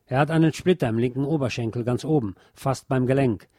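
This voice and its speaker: A man's voice: medium pitch, a little fast, mellow, no echo, studio quality. A man reading a scripted historical text aloud as a narrator.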